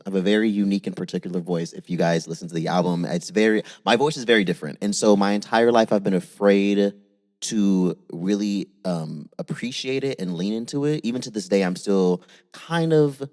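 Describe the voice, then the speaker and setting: A man in his thirties, a singer chatting casually as a podcast guest, animated and self-deprecating. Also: lowish voice, brisk. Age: 30s. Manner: animated, self-deprecating, breaks into playful voices.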